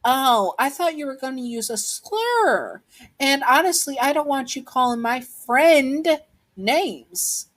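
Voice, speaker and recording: A woman's voice: sits high, animated, takes it slowly, pitched above the performer's own voice, heavily acted. A woman voicing a bold, blunt young child who fiercely protects a friend, in an amateur story narration. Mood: defiant. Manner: defiant, blunt.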